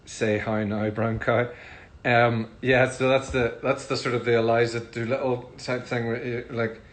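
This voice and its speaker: A middle-aged man with a Northern Irish accent, casually answering fan questions in a relaxed, chatty way with frequent ums. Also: medium pitch, unhurried. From Northern Ireland. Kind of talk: casual livestream Q&A.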